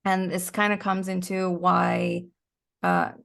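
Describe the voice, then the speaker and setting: A woman's voice: lowish voice, flat delivery, slow pace, vocal fry, fairly bright tone. A woman chatting casually as a podcast guest, explaining through storytelling and acted-out imaginary quotes.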